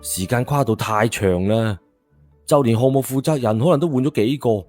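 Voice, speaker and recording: A proud young graduate, played by a man, exasperated and dismissive in his grumbling. His pitch is ordinary for a man. It is audio drama acting with quiet music underneath. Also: normal speed, natural voice. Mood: sceptical complaining. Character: jaded, proud young smooth-talking graduate, easily exasperated.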